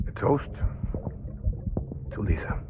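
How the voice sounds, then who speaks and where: A man playing a low-key, confiding character in a cinematic video game scene. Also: slow, close to an everyday voice. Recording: muffled narrow-band sound, loud background noise.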